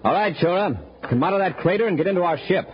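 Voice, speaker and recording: A man's voice: high voice, expressive, fast. A man playing an authoritative space patrol commander with clipped orders, in the manner of a 1950s radio adventure serial. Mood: urgent, firm. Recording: muffled, very noisy old radio recording.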